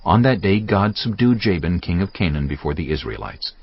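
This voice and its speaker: Deep-voiced male scripture narrator, expressive. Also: fairly quick, slightly rough, no echo, dull audio. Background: music.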